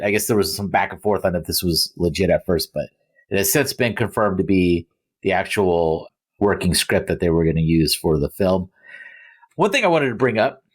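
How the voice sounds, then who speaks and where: A man hosting a podcast in a casual, conversational way with joking asides. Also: low-pitched. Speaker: podcast host. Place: remote recording.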